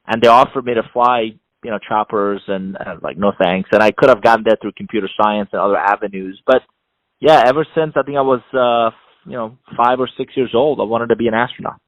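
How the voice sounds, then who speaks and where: A middle-aged man from Iran, a non-native English speaker, giving measured, explanatory answers as an interview guest, often building his points in repeated phrases. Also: fairly low pitch, dry recording, muffled recording. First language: not English. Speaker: interview guest, executive coach and author.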